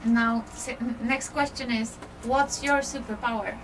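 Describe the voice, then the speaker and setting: A woman's voice: on the high side, steady tone, a little slow, recorded from a distance, rough recording. A woman interviewer putting short, casual questions to guests. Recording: off-mic voice, clear background noise.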